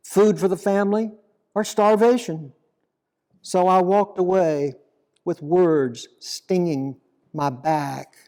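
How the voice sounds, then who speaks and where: A man reading a dramatic monologue poem as an aging, plain-spoken fisherman apostle, deliberate, reflective and full of guilt and devotion. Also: high-pitched, mellow. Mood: rueful, heavy. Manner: slow, deliberate, reflective storytelling.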